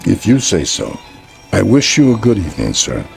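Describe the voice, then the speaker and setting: An actor playing a gentle, patient old street peddler in a radio drama, mild, polite and knowing in his manner. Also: low voice, wildly swooping pitch, moderate pace, gravelly, soft background music, heavily acted. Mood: calm, knowing. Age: older.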